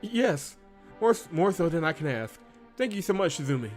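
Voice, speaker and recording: A man's voice: on the high side, big pitch swings, moderate pace, soft background music, voice pushed up. A man voicing a timid, fragile young servant woman in a hesitant way for a visual novel. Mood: hopeful.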